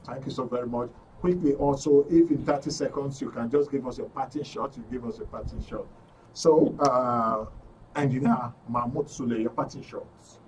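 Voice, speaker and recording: A man's voice: medium pitch, normal speed. A man with a Nigerian accent hosting a live radio talk show. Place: radio and TV studio. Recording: distant mic, background noise.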